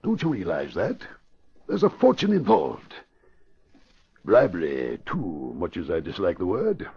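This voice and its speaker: Crooked middle-aged financier for a vintage radio crime play: American, low and husky, commanding, conniving, muffled old recording. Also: male voice, wildly swooping pitch, measured pace, exaggerated voice. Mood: persuasive, secretive. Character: scheming middle-aged crooked investment boss, commanding and smooth. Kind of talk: 1940s radio crime drama acting.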